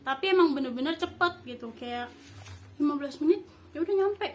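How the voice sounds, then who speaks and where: A young woman speaks in a high voice. She teaches in an informal, improvised way, stopping to search for words and correct herself. There is clear noise in the recording. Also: expressive. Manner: halting, improvised explaining with frequent self-corrections. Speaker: host teaching basic Japanese. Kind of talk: informal language review lesson.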